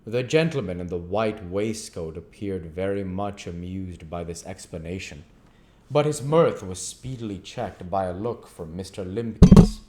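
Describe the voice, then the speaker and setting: A man reading a classic story aloud as scripted narration, deliberately and phrase by phrase. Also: low-pitched, expressive, warm tone. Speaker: audiobook reader.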